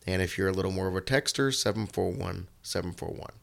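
A man co-hosting a podcast, hoarse and raspy from a cold, talking in an earnest, rambling way. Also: low voice, quick pace. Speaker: podcast co-host. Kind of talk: casual chat.

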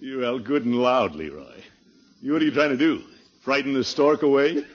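An actor playing a jovial, blustery middle-aged American uncle in a 1950s radio comedy, with hearty drawn-out exclamations. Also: male voice, mid-range voice, slightly rough, natural voice. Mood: excited. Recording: dull, noisy vintage radio recording.

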